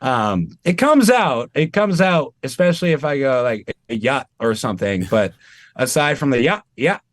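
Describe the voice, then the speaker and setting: A man's voice: medium pitch, normal speed, dry recording. A man from Maine, a tribute performer talking casually and animatedly as an interview guest over a video call. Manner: chatty, animated, self-deprecating.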